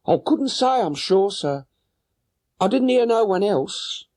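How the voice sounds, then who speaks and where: High-pitched flustered London housewife. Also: male voice, expressive, takes it slowly, darkish tone. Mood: chatty, obliging. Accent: London working-class. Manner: chatty, deferential, plain-spoken. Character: flustered working-class London boatman's wife, stout and chatty. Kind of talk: audiobook character voice.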